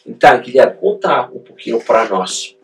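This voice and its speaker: A man from Italy with an Italian accent, hosting a live webinar in a casual, halting way with frequent fillers. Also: medium pitch, lively intonation, gravelly, slight background noise. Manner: frequent tag questions, hesitant fillers. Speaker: host.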